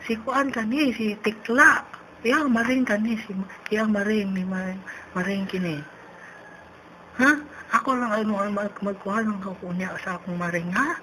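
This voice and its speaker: A woman voicing a forgetful elderly neighbour with a Cebuano accent, halting and repetitive on a prank phone call. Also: mid-range voice, steady tone, fairly bright tone, close to an everyday voice. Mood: insistent, polite. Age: older. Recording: noisy phone-call recording.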